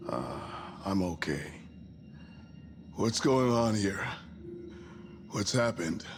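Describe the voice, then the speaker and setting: A man's voice: low voice, very animated, very slow, noisy background, heavily acted. A man playing a groggy, confused colony ship passenger just woken from sleep in a sci-fi game. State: woozy. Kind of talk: cinematic game acting.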